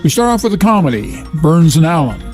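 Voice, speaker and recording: Mellow-voiced male program host. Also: on the high side, big pitch swings, brisk, soft background music. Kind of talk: scripted program introduction.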